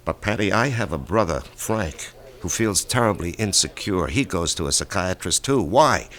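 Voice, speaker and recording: Deep-voiced, haunted American salesman. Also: lively intonation, moderate pace, a bit bright. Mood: wry, resigned. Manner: weary, agitated, questioning. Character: troubled American salesman, war veteran, haunted and jittery. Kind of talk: American radio drama acting. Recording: vintage radio drama recording.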